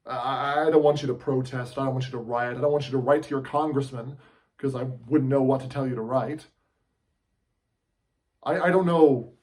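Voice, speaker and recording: A man performs a fed-up male news anchor with a mid-pitched voice in a dramatic movie monologue. The delivery is urgent, impassioned and oratorical, addressed straight to the people. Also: lightly acted. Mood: urgent, angry.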